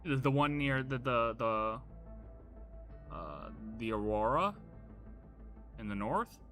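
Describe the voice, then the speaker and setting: A man giving casual live playthrough commentary, thinking out loud in a rambling, speculative way with repeated half-phrases. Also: medium pitch, lively intonation, slow pace, soft background music. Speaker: game streamer.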